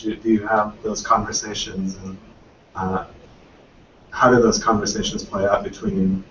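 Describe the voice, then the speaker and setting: A man's voice: lowish voice, slight background noise. A man asks a student panel a long, rambling question with many restarts. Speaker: audience questioner. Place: conference room. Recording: echoey, distant, dull room audio.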